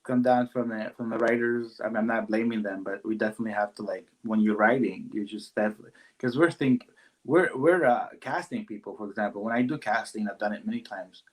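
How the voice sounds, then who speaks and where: A man who works as a casting director and actor, talking casually in a halting, rambling way with many fillers. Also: fairly low pitch, poor audio. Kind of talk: casual interview conversation.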